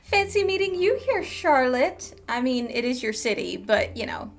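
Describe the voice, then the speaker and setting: A woman's voice: high voice, sing-song, normal speed. A woman game streamer chatting casually and playfully with her live audience in an informal way. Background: game music.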